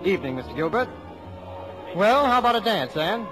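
Lively, boyish American teenage suitor. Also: male voice, high-pitched, fairly quick, over music. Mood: smitten. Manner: boyish, slangy, sincere. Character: smitten, earnest American high-school boy. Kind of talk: 1950s radio comedy acting. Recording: old radio broadcast.

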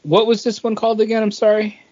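A man's voice: high voice, completely flat delivery, fast, darkish tone. A man chatting casually as a podcast co-host, a bit hesitant in his phrasing. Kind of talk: casual chat. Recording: dull lo-fi audio.

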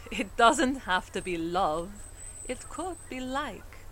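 An actress playing a long-lived, scholarly woman in an audio drama, articulate and measured, with a teasing streak. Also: fairly high pitch, expressive, a bit bright, a little hiss or hum. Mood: teasing, fond.

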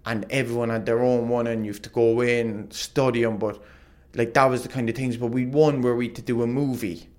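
Man, young adult, casual rambling storyteller, frequent laughs, mid pitch. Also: steady tone, a little fast, a little mellow. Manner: rambling, lots of "like", laughs often. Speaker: guest, former footballer.

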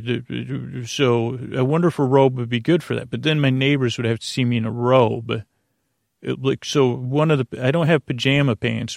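Middle-aged man, creaky voice, halting bedtime rambler, self-deprecating, close dry recording. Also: medium pitch, no room sound. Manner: halting, meandering, with stammered restarts and trailing tangents; self-deprecating, gently absurd humour. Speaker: podcast host. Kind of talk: rambling bedtime sleep-podcast monologue.

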